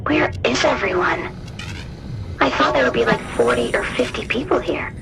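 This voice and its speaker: A woman's voice: fairly high pitch, big pitch swings, moderate pace, vocal fry, exaggerated voice. A woman playing an earnest, trusting technician chatting in a sci-fi video game. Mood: curious. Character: trusting, earnest female technician, eager and relieved. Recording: music and ambience behind voice.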